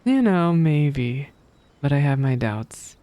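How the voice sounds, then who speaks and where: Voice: mid-range voice, sing-song, slow, dark tone, no room sound. A game streamer giving casual reaction commentary in a playful, self-deprecating way.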